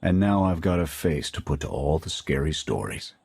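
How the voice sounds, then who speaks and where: An actor playing a gruff veteran male soldier who leads a squad, blunt and clipped, in a video game. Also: lively intonation, normal speed, darkish tone, no room sound, full character voice. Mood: steady. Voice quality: deep gravelly voice. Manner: blunt, clipped military orders. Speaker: squad leader.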